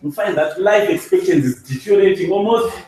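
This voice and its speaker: Man from Zimbabwe, halting sales presenter, distant mic in a reverberant meeting room. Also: high-pitched, darkish tone. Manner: halting, with drawn-out fillers and restarts. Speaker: business presenter. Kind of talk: sales presentation to a live audience. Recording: echoey hall, mic far off.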